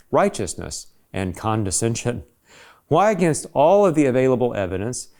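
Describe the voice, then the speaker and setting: A man's voice: mid-range voice, a little mellow. A man reads a scripted essay aloud in an emphatic, rhetorical manner, as if pressing an argument. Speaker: reader of an essay.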